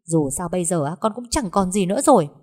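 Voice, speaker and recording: A woman voicing an angry grown daughter who talks back with shrill, accusing, tearful words. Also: expressive, fast talker, a little mellow. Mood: resentful. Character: angry grown daughter, sharp-tongued and bitter.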